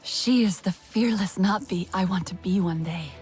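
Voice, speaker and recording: An actress playing an earnest, caring young alien heroine in a video game, with a gentle and direct way of talking. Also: female voice, mid-range voice, normal speed, faint music behind, lightly acted. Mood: questioning. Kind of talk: video game character acting.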